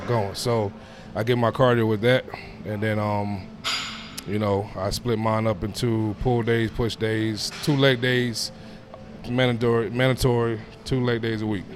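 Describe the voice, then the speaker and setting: A man in his thirties talking casually as a personal trainer being interviewed, informal, often dropping in "man" and "you know". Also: lowish voice. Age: 30s. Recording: clear steady background noise.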